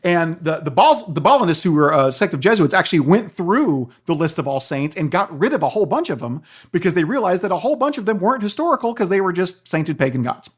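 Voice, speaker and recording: A man's voice: high voice, very animated, fast, few pauses, breathy edge. A man speaking as a guest professor in an interview, explaining things in a professorial way and checking in with listeners.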